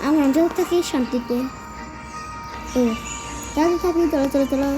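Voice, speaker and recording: A young-sounding game host giving casual, excitable gameplay commentary, often repeating words in rapid bursts. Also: high-pitched, over loud music. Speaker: gaming video host.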